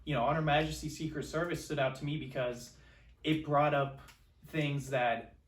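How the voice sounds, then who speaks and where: A man co-hosting a podcast with loose, halting, informal talk about films. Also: on the high side, fairly even intonation. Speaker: podcast co-host. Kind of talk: casual film discussion. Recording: roomy echo, distant microphone.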